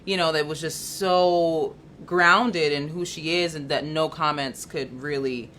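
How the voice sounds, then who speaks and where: A woman's voice: sits low, a little slow, slight background noise. A young woman, a model and musician, chatting as a guest in a casual interview and laughing easily. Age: young adult. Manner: relaxed, laughs easily, animated storytelling.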